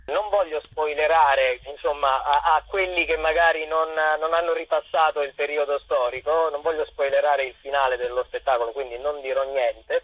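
A man's voice: a little high, slightly rough. A young man from Abruzzo in Italy, an actor and playwright, explaining his work in a conversational interview over the telephone. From Chieti, Abruzzo, Italy. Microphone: phone call. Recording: tinny, roomy telephone line on radio.

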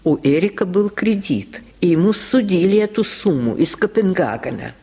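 A woman reading a literary tale in an expressive, theatrical manner, in the style of 1950s radio storytelling. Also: on the low side, slightly rough. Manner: slow, expressive, theatrical delivery. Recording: 1950s radio archive recording.

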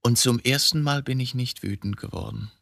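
Embittered middle-aged court reporter, murder suspect, medium male pitch, brooding, agitated, rhetorical, dry radio drama studio. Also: moderate pace, creaky, a bit bright, no echo. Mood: bitter, confessional. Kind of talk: German radio drama acting.

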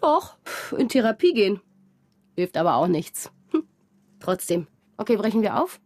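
A woman's voice: mid-range voice, very animated, moderate pace, darkish tone, no room sound, clean studio sound. An actress playing a restless, self-mocking young woman in a radio drama. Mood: wary.

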